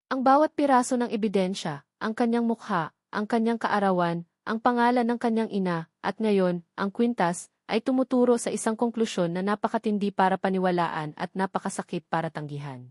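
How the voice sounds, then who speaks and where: A woman narrating a scripted audio drama in a steady, measured storytelling voice. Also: mid-range voice, dry recording, studio quality.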